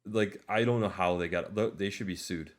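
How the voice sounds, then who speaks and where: Deep-voiced man chatting casually. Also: normal speed. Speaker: podcast co-host. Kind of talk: casual movie discussion chat.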